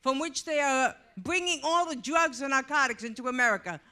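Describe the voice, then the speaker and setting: Loud, high, bright woman in the audience. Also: fairly quick. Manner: loud, talks without a mic. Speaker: audience member asking a question. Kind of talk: off-the-cuff remark from the floor.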